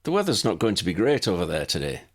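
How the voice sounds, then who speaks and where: Young Irish wizard played by a male reader, friendly and chatty, lilting accent, very animated, slightly husky, for a fantasy audiobook. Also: deep voice, fast, exaggerated voice. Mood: helpful.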